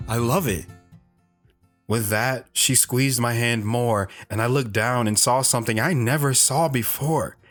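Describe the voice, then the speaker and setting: A man playing a shy, hesitant wanderer who narrates his own story in an audio drama, reflective in tone, with soft guitar underneath. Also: medium pitch, measured pace, natural voice. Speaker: narrator and guest.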